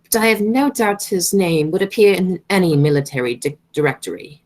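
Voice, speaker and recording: A woman's voice: on the low side, expressive, a little fast, a little mellow. A woman playing a haughty, formidable older aristocratic aunt in a radio play of a stage comedy. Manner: haughty, pronouncing.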